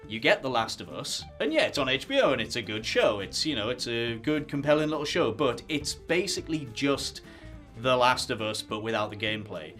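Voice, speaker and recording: A man talks through his reasoning as a podcast co-host, measured with small asides. His voice sits at a plain middle pitch, with a little music faint behind. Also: lively intonation, fairly bright tone. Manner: measured, argues a thesis with asides. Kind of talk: casual podcast chat.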